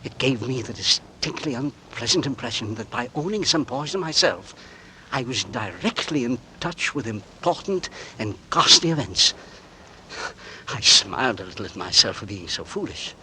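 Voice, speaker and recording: A man's voice: medium pitch, pushed and bright, slight background noise, rough recording. An actor playing a precise, self-assured middle-aged gardener who narrates his own story in a 1940s radio drama, in an understated manner. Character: fussy, cold-blooded middle-aged male gardener. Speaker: narrator and lead. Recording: old radio recording with music bed.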